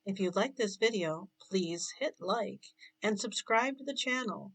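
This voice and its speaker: A woman's voice: medium pitch, expressive, measured pace. A woman and former math teacher giving an earnest, encouraging motivational talk to her viewers, with playful asides.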